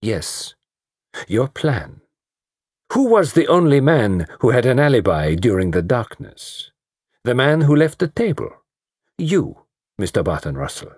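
A man voicing a fussy, commanding detective, pompous and precise, in a slightly husky voice. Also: mid-range voice, very animated, measured pace, pauses often, a little mellow, no room sound, clean studio sound, pitched above the performer's own voice. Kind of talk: audiobook reading.

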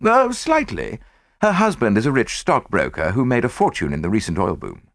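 A man voicing an eager, well-meaning English gentleman companion with a bright, breezy delivery in an audiobook. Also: medium pitch, sing-song, moderate pace, no echo, studio quality. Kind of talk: audiobook character voice.

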